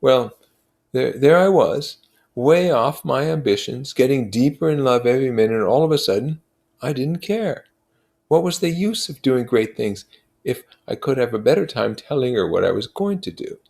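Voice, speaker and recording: An earnest young man in love , played by a male audiobook reader, confiding wistfully and eagerly. The voice is lifted well up in pitch, with an effusive, storybook manner. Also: animated, normal speed, vocal fry, darkish tone. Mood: earnest, lovestruck.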